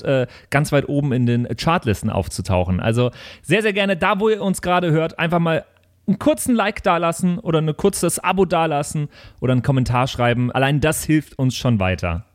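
A man's voice: fairly high pitch, lively intonation, brisk. A man hosting a podcast, giving a casual, friendly and informal introduction with an appeal to listeners. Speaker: podcast host.

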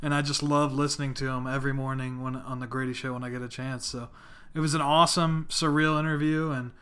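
A man's voice: medium pitch, little pitch movement, fast talker, fairly bright tone, clean tone. An American man co-hosting a sports podcast, chatting casually and asking questions. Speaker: podcast co-host.

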